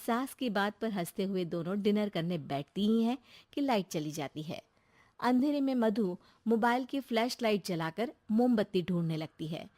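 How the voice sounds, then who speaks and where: A woman's voice: medium pitch, animated, normal speed, darkish tone. Woman narrating a story, faint background noise. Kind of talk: scripted narration of a comic Hindi story.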